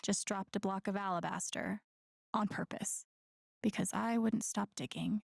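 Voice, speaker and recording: Breathy, wary young female investigator. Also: mid-range voice, steady tone, measured pace. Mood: wry, indignant. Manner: hushed, wry, thinking aloud. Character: wary, determined young woman investigating a cover-up. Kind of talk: naturalistic video game acting.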